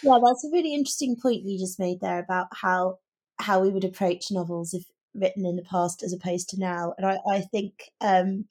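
A woman with a husky, fry-laced voice talks through books on a podcast. She is articulate and reflective, often questioning her own views as she goes. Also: mid-range voice, darkish tone. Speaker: podcast co-host, teacher. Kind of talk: thoughtful book discussion.

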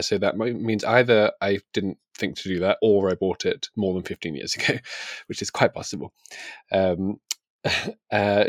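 A man's voice: lowish voice, no echo, clean studio sound. A man co-hosting a podcast, chatting casually about books in a hesitant, self-correcting way. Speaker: podcast co-host. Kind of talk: casual book chat.

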